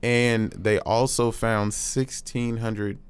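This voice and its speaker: A man's voice: medium pitch, little pitch movement, slow, smooth. A man talking casually as part of a group podcast discussion.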